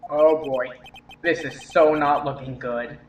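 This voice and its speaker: A man's voice: fairly high pitch, fairly even intonation, measured pace, echoey room, highs cut off, faint music behind, lightly acted. A man playing a nervous, inexperienced young defense lawyer, hesitant and flustered, in a game fandub. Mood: worried. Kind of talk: game fandub acting, inner monologue.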